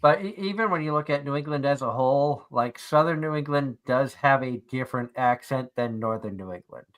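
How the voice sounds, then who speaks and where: A man with a Boston accent, co-hosting a casual live-stream chat and telling lively stories about accents. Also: medium pitch, a little fast. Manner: animated storyteller, mixes in accents.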